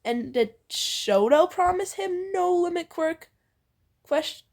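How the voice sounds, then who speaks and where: Woman, high pitch, very expressive intonation, playful fiction narration, giggly, teasing banter. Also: takes it slowly. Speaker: fan fiction reader and co-host.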